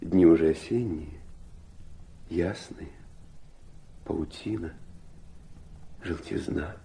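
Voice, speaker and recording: A man's voice: low-pitched, takes it slowly, vocal fry, mellow, a little hiss or hum, full character voice. An actor playing an urbane, aloof, middle-aged aristocratic father in an audio drama, mocking and capricious. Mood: tender, nostalgic. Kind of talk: theatrical audio drama acting.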